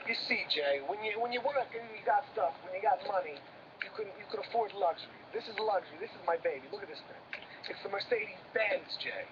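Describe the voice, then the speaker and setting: An actor playing a boastful, self-made older brother who swears a lot. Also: male voice, high-pitched, crowd noise, close to an everyday voice. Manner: profane, boastful, rapid-fire. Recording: distant, dull, with background noise.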